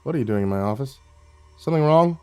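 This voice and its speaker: A man's voice: medium pitch, lively intonation, fast talker, dark tone, clear, resonant tone, faint music behind, lightly acted. A man voicing a mild-mannered school principal who sputters and turns stern and demanding. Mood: startled.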